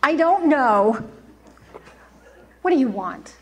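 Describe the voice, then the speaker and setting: A teasing young sweetheart, voiced by a woman draws her lines out slowly and coyly, her high voice swooping up and down. The live community staging adds a faint background hum. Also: very animated, full character voice. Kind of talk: live amateur radio drama.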